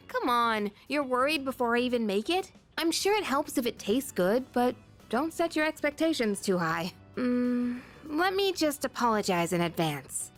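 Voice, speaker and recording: Chatty schoolgirl, very high pitch, animated, self-deprecating, game dialogue over music. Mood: mildly indignant. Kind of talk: video game voice acting.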